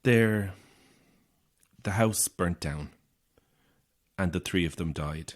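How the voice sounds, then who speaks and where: A man's voice: low-pitched, slow pace. An actor playing an anxious, isolated young Irish man, edgy and sardonic, in a naturalistic audio horror drama. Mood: uneasy, subdued.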